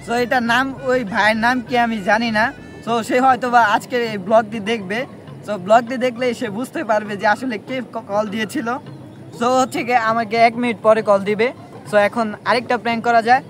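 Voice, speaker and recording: High-pitched man, casual prank-call vlogger. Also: fairly even intonation, fairly quick, fairly bright tone, soft background music. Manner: addresses viewers directly, informal. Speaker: vlogger making prank calls. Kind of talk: casual vlog chat and phone pranks.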